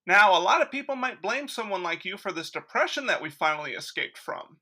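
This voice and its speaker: A woman playing a chipper, brisk radio show host in a parody of 1940s announcing. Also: mid-range voice, sing-song, sharp tone. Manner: announcer-like, showy.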